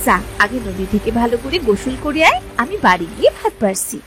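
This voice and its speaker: A woman's voice: on the high side, very animated, quick pace, over music. A woman voicing a responsible, caring village elder sister, fretful and motherly, in a cartoon. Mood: scolding.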